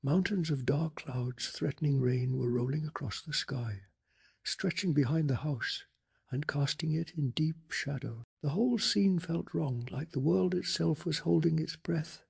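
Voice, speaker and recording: A man narrating a bedtime story in a soft-spoken ASMR style, calm, hushed and deliberate. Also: on the high side, vocal fry, breathy edge.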